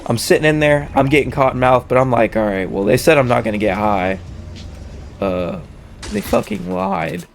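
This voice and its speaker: A young man tells a casual story in a creaky, fry-laden voice, slightly hoarse from a head cold. His talk is slangy and full of swearing. There is a faint wash of noise behind him. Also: medium pitch, very animated, moderate pace. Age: young adult. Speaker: storytelling host.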